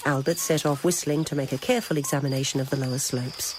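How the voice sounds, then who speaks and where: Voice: mid-range voice, steady tone, quick pace. A narrator reading literary, scripted prose for a radio drama. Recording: noisy old radio recording.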